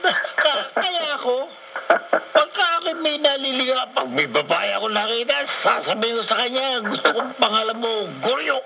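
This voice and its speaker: A man's voice: very high voice, expressive, normal speed, vocal fry, pushed and bright. A man anchoring radio news with casual, joking banter, teasing and repeating himself playfully, on a noisy AM radio recording.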